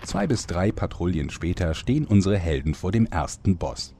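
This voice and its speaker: A man's voice: low-pitched, sing-song, moderate pace, very noisy. A man narrating a parody fantasy audio drama in a dry, composed, scripted style.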